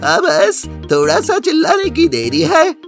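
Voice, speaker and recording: Squealing, cunning cartoon donkey. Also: male voice, very high-pitched, wildly swooping pitch, a little slow, vocal fry, faint music behind, voice pushed up, full character voice. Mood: sly, scheming. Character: cunning, greedy male donkey, squeaky sidekick schemer. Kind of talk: animated storybook character voice.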